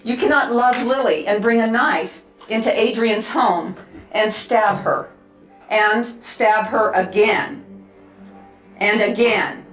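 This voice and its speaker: A woman's voice: fairly high pitch, slow, soft background music. A woman giving a formal, accusing statement with emphatic repetition, recorded in an echoey courtroom from a distance. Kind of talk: victim impact statement, formal address.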